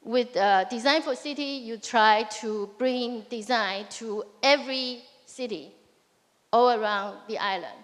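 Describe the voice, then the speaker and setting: A woman moderating a live panel on stage, speaking haltingly with many fillers and restarts. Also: fairly high pitch. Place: large hall on stage. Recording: reverberant hall, faint music.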